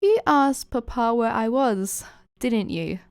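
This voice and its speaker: A woman voicing a feisty, easily flustered young woman, animated and affectionately scolding, in an audio fan fiction. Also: on the high side, slow, smooth, dry recording, clean studio sound, pitched above the performer's own voice. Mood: exasperated.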